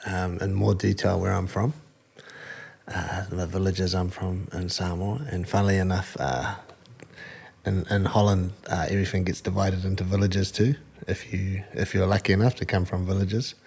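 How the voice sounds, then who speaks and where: Husky deep-voiced New Zealand man. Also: level tone, darkish tone. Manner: earnest, halting, many fillers and restarts. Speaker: combat sport fighter, guest. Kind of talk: casual interview answers.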